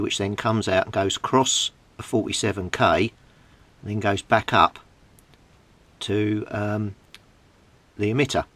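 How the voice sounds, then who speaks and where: A man's voice: lowish voice, a bit bright, dry recording. A man from Britain walking through a radio repair step by step in a plain, methodical way, thinking aloud with small fillers. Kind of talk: technical repair walkthrough.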